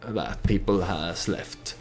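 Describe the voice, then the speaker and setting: A man giving casual storytelling commentary over a strategy game, hesitant and halting as he thinks aloud. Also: sits low, vocal fry, faint music behind. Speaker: let's play YouTuber narrating his strategy game.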